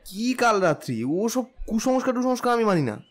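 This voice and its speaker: An actor as an impatient young groom in an audio drama. His voice is fairly high and very animated in pitch. He is easily exasperated. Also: fairly quick. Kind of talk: audio story acting.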